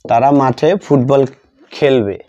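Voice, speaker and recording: A male teacher whose first language is Bengali explains a lesson step by step. His voice is mellow and medium-pitched, and he goes slowly, repeating terms as if writing them out. The recording sounds slightly dull and lo-fi. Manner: deliberate, repetitive, dictating term by term. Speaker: English grammar teacher.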